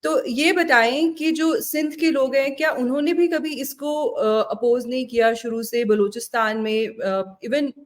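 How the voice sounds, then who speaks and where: A woman's voice: high-pitched, unhurried, few pauses. An Indian woman hosting a political interview, speaking conversationally and mixing in English words. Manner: mixes Hindi-Urdu with English words. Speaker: interview host.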